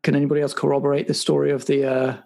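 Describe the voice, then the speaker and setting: A man's voice: a little high, monotone, rapid-fire, creaky, warm tone. A man playing an officious spy agency superior in an improvised roleplay, speaking in a hesitant, formal, politely pedantic way. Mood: officious, measured. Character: officious, bureaucratic male spy agency superior running a debriefing. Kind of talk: improvised roleplay audio drama.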